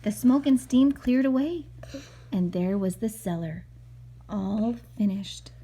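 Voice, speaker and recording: A woman's voice: mid-range voice, expressive, slow pace, warm tone, slightly rough. A woman reading a picture book aloud to a child as a mother, expressively, with chatty asides and questions.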